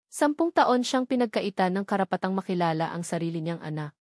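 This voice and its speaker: A woman's voice: lowish voice, animated, brisk, a little mellow, dry recording, clean studio sound. A woman narrating a scripted romance story in a dramatic storytelling style.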